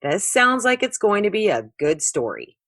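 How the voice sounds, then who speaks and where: A woman's voice: mid-range voice, big pitch swings, normal speed, fairly bright tone, dry recording, studio quality, voice pushed up. A woman voicing a curious, easily sidetracked young boy asking questions expressively in a children's storybook.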